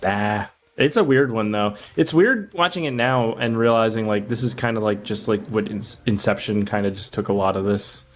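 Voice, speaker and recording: A man's voice: lowish voice, normal speed, few pauses. A man co-hosting a film podcast, speaking in a casual, conversational way.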